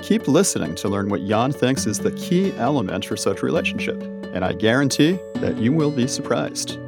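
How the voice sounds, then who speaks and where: A man's voice: mid-range voice, very animated, fairly quick, vocal fry, over music. A man hosting an interview podcast, welcoming listeners and asking probing, inviting questions. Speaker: podcast host.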